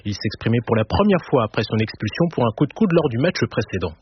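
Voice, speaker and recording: A man's voice: medium pitch, very animated, highs cut off, a little hiss or hum. Man reading radio sports news. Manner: brisk, factual delivery. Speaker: sports journalist.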